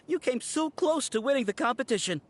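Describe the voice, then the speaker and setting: A woman's voice: sits high, animated, moderate pace. A woman playing an eager, admiring young student in a video game.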